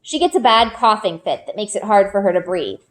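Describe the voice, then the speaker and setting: A woman reading a children's book aloud in an expressive storytelling style. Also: medium pitch, brisk. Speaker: read-aloud reader. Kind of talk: expressive children's book narration, first person. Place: group read-aloud with elementary pupils.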